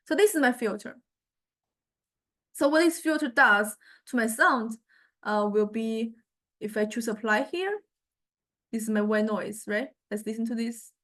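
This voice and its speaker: Woman lecturer, slightly high voice, informal software tutorial, halting, repeats word starts, checks in often. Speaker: music technology lecturer.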